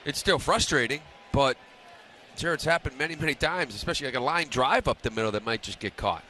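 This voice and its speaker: A man's voice: mid-range voice, a little fast, pushed and bright. A middle-aged man, a former player, giving casual analysis on a live baseball radio broadcast. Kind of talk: casual sports commentary. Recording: faint stadium ambience noise behind. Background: ballpark ambience.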